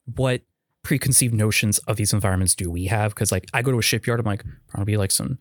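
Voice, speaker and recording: Male co-host, fairly low pitch, joking and conversational, dry close recording. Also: quick pace, dry recording. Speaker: podcast co-host. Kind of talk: casual chat.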